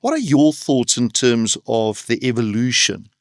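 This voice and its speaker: A man hosting an interview podcast, asking measured, reflective questions with frequent filler uhs. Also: medium pitch, big pitch swings, no room sound, clean studio sound. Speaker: CEO and podcast host.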